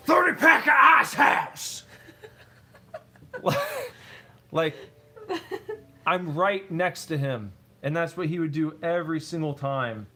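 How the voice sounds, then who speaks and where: A man's voice: fairly high pitch, a bit bright. A man hosting a casual building stream, laid-back, telling stories and reading chat aloud. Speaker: streamer host.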